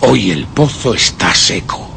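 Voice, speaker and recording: A vengeful murderer telling his tale in a radio horror play. His Castilian Spanish is deliberate and menacing, fairly low-pitched yet highly expressive. Clear noise sits behind the recording. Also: exaggerated voice. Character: cold, vengeful male murderer recounting his crime. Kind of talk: dramatic first-person radio horror narration.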